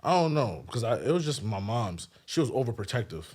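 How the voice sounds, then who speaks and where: A young man from Haiti whose first language was French, telling his story as a guest in a relaxed, slangy podcast chat. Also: mid-range voice, brisk, slightly rough. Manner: laid-back, slangy storytelling. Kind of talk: casual chat.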